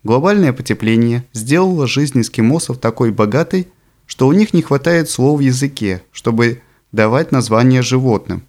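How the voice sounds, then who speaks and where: A man with a medium-pitched voice reads as an audiobook narrator. His delivery is scripted and deliberate. He sets out each phrase in a measured way, as prose read from a book. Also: expressive, darkish tone.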